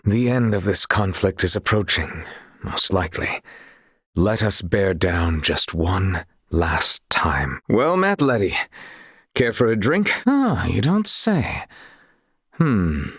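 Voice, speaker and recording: An actor playing an earnest, chivalrous young knight in a video game, speaking formally and courteously with a husky, breathy voice. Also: male voice, on the low side, a bit bright, no echo, studio quality, exaggerated voice. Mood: sincere, reflective. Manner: formal, archaic, courtly phrasing.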